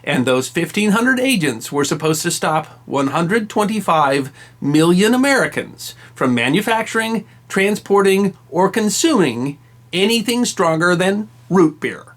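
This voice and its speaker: A man's voice: high voice, big pitch swings, a little slow, fairly bright tone. A man narrating a history story from a script, with wry, emphatic delivery and dry asides. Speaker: history channel host.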